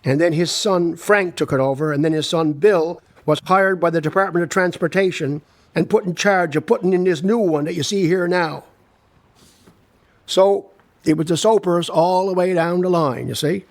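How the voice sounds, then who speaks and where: A man's voice: fairly high pitch, lightly acted. An older man with a Newfoundland accent playing a friendly, proud village local who reminisces in a chatty, folksy storytelling monologue with asides.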